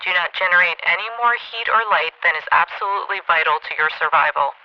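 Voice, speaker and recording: An actor playing a solemn emergency alert announcer, formal and measured, heard through a radio broadcast filter. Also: male voice, high-pitched. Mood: grave, official. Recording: dull, band-limited radio broadcast.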